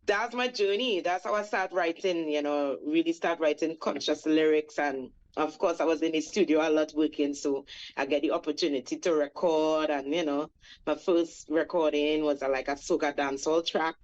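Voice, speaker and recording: A woman's voice: sits low, steady tone, normal speed, darkish tone, muffled recording. A woman in her forties from Trinidad with a Trinidadian accent, a reggae singer guest recounting her life in a reflective, storytelling way with many fillers. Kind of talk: radio interview.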